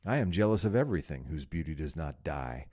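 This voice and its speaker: A vain young man full of dread about losing his youth, as a male reader puts him on. He pleads in a low, dark voice that swings widely between pitches and lifts a little above the reader's own. His delivery is melodramatic and anguished. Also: very animated, brisk, heavily acted.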